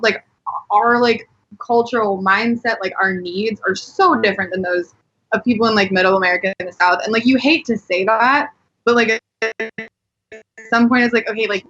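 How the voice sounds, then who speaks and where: A woman's voice: mid-range voice, normal speed, a bit bright, breathy edge, highs cut off, soft background music. A woman from Colorado chatting casually and candidly as a podcast guest, peppering her speech with "like.". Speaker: podcast guest, adult performer. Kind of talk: casual chat.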